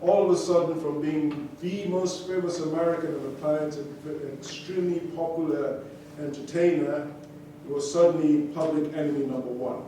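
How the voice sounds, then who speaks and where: A man's voice: fairly high pitch, steady tone, normal speed, mellow, a little hiss or hum. A man telling a life story to a live audience between songs, talking conversationally with fillers and restarts. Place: concert venue. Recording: distant echoey hall recording with audience ambience.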